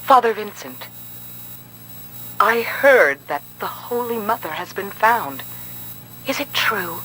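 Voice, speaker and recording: A slow, timid, devout cult follower. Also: female voice, medium pitch, lively intonation, pushed and bright, slight background noise, rough recording. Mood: reverent. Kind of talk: video game voice acting.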